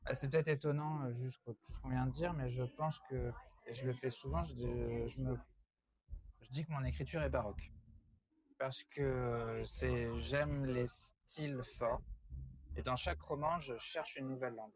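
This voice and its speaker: A man from France answering as an interviewed novelist, reflective and halting, often repeating his phrases as he talks. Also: mid-range voice, fairly even intonation.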